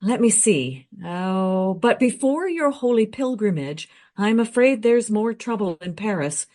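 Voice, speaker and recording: Radio play researcher, female actor: knowledgeable, frank, brisk, very expressive pitch swings. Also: lightly acted. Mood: informative, tactful. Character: well-informed, matter-of-fact female history researcher.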